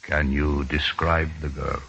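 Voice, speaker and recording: Dignified, grieving chief, terse and formal, forceful tone, dull vintage radio sound. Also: male voice, a bass voice, little pitch movement, slow, gravelly, slightly rough, heavily acted. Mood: restrained grief. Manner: terse, solemn, stately, formal phrasing. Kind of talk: 1950s radio drama acting. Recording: dull old radio recording.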